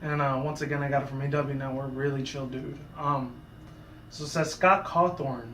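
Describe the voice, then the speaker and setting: A man with a sore, hoarse voice, talking in a casual, rambling commentary vlog full of asides and restarts. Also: medium pitch, fairly even intonation, moderate pace, a bit bright, echoey room, a little hiss or hum. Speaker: YouTuber.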